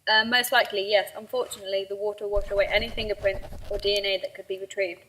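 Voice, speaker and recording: A woman's voice: medium pitch, flat delivery, fast, pushed and bright, slight background noise, natural voice, low-quality recording. A woman playing a matter-of-fact pathologist in an amateur radio drama, clinical and unemotional. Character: matter-of-fact female pathologist reporting post-mortem findings.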